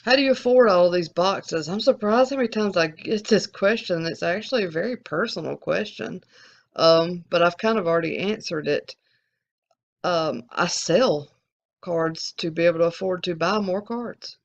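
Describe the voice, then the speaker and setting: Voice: medium pitch, normal speed, gravelly, lo-fi sound. An adult card-channel host with a Southern Alabama accent, answering viewer questions in a candid, rambling way with restarts. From American South. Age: adult, collecting since the eighties. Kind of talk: casual Q&A to viewers.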